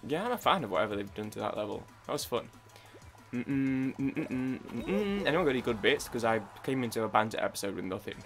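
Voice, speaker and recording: A man's voice: medium pitch, sing-song, brisk. A young man giving casual, rambling commentary over a video game, often stopping mid-word and restarting, with game music playing behind him. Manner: rambling, self-interrupting, hums and sings along. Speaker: gaming YouTuber.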